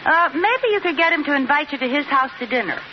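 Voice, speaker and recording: Animated, scheming radio sitcom housewife. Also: female voice, high-pitched, fast. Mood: sympathetic, nosy. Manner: animated, theatrical, coy. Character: scheming, impulsive, excitable housewife, meddling but well-meaning. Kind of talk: 1950s radio sitcom acting. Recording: muffled, noisy old radio recording, audience.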